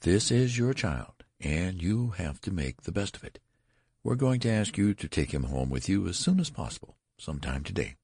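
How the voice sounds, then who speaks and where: A man's voice: a bass voice, very animated, normal speed, creaky, husky, no room sound, studio quality, exaggerated voice. A man voicing a severe, contemptuous female hospital nurse in a scolding manner in a story reading. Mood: stern. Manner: stern, scolding, hushed at times.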